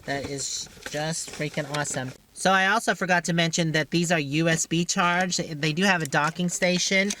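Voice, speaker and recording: A woman's voice: normal speed, hardly any pauses, fairly bright tone. An American woman chatting casually and informally as she vlogs from her motorhome, often talking to herself. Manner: chatty, informal, talks to self while driving. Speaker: RV travel vlogger and driver. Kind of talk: casual unscripted vlog chat. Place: inside a motorhome, outdoors on the road. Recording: noisy vehicle rumble and ambience.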